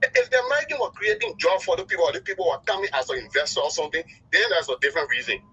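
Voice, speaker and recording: A man's voice: on the high side, lively intonation, rapid-fire, bright, forceful tone, slightly rough. A man with a Liberian accent phoning a talk show, giving emphatic, impassioned political commentary and repeating phrases for effect. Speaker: phone-in caller. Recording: dull lo-fi call audio.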